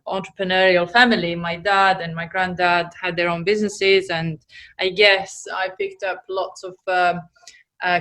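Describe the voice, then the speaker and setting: Serbian-accented woman, halting and low. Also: a bit bright. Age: 40s. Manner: hesitant, many fillers, laughs easily. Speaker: guest entrepreneur. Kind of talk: casual interview chat.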